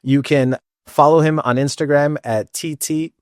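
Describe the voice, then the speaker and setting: A man's voice: fairly high pitch, steady tone, moderate pace, no echo, clean studio sound. A man hosting a podcast, introducing and questioning in a conversational interview style. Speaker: podcast host.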